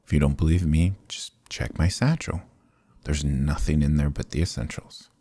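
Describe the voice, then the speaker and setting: Very deep, sly charming adventurer played by a man. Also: moderate pace, warm tone, husky, dry recording, exaggerated voice. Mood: glib, evasive. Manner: glib, courtly, evasive, hesitating theatrically. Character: sly, charming adventurer thief, smooth-talking and flattering. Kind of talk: fantasy ASMR roleplay acting, close and soft.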